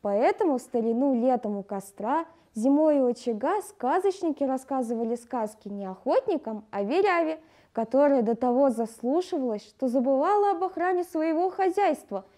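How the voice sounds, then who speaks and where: A woman with a high-pitched voice reads scripted educational narration. Her tone is soft-edged and mellow, and she speaks like a teacher explaining material to pupils. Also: expressive, normal speed. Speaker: language lesson presenter.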